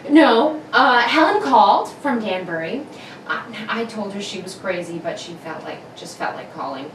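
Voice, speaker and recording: A woman's voice: medium pitch, animated, moderate pace, close to an everyday voice. A woman playing a doting, fretful 1950s suburban mother in an amateur radio play reading. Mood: uneasy. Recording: roomy, distant mic, clear background noise.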